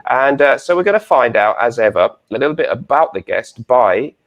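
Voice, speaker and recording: A man's voice: mid-range voice, lively intonation, a little fast. A man hosting a chatty online interview, speaking in a polite, complimentary and somewhat hesitant way. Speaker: host of a fragrance interview show.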